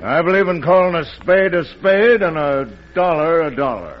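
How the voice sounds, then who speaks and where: An actor playing a blunt, impatient tycoon in an old radio drama, plain-spoken and hard-nosed. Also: male voice, fairly high pitch, lively intonation, normal speed, natural voice. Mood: brusque. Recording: dull old radio recording.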